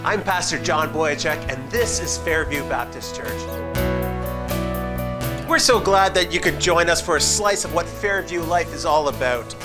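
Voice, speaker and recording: A man's voice: fairly high pitch, expressive, measured pace. A man, the church pastor, giving a friendly, informal welcome and introducing a guest. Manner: friendly, informal, hesitant fillers. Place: church sanctuary. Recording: long hall reverb with faint music.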